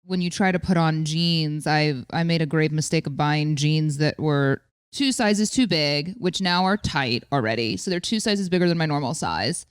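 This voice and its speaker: A woman in her thirties co-hosting a podcast in casual chat, loud and candid with some bass in her voice and a blunt, swearing manner. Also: normal speed, hardly any pauses, clear, resonant tone, no echo, clean studio sound. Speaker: podcast co-host.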